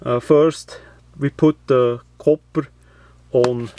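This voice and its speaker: A man presenting a how-to, explaining step by step in an unscripted, halting way with frequent pauses. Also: mid-range voice, steady tone, mellow, dry recording. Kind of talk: unscripted step-by-step tutorial talk.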